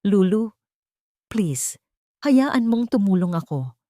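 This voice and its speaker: A woman voicing a broken, remorseful man who pleads in a hushed manner in an audiobook drama. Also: mid-range voice, big pitch swings, slow, no room sound, studio quality, pitched above the performer's own voice. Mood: remorseful, pleading.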